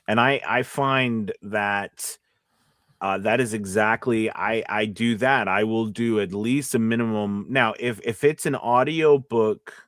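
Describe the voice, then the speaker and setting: A man hosting a casual livestream discussion, often repeating words as he thinks out loud. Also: fairly low pitch, a little slow, no echo, clean studio sound. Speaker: livestream host.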